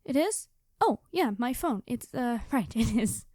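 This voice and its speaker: A woman's voice: fairly high pitch, sing-song, measured pace, a little mellow, no echo, studio quality. A woman playing a quirky, chatty time traveler from the future, rambling and flustered, in an ASMR roleplay. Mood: sheepish. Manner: rambling, flustered, hesitant, cheery. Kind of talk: ASMR storytelling roleplay, one-sided conversation.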